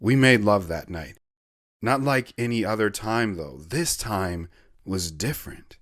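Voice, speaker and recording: Man acting a low-voiced, earnest wandering veteran. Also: lively intonation, measured pace, fairly bright tone, dry recording, clean studio sound. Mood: tender. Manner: earnest, sentimental, reflective. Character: earnest, wandering male war veteran telling his life story. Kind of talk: dramatic storytelling in an audio drama.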